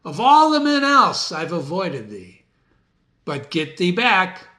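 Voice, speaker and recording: A man's voice: sits high, very animated, takes it slowly, sharp tone, full character voice. A man playing Macbeth, a proud, defiant, doomed warrior king, declaiming archaic verse.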